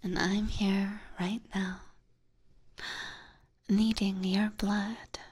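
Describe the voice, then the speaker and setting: A seductive vampire catgirl, played by a woman, whispers in a slow, breathy voice very close to the ear. She is teasing and coaxing, with a playful menace that suits an intimate ASMR roleplay stream. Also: mid-range voice, flat delivery, a little mellow. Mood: coaxing, possessive. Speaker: streamer playing a character for live chat. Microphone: close binaural ASMR microphone.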